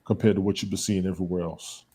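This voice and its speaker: A man's voice: low voice, little pitch movement, fast talker, dark tone, slight background noise. A man chatting casually as a guest on a live show, emphatic and repeating his points. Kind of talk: casual chat.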